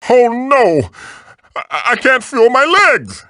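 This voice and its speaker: A man's voice: very high voice, huge pitch swings, slow, sharp tone, no room sound, studio quality, heavily acted. A man voicing an old magical mask, a bossy, wisecracking mentor guiding the player in a video game with theatrical, wry delivery. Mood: excited.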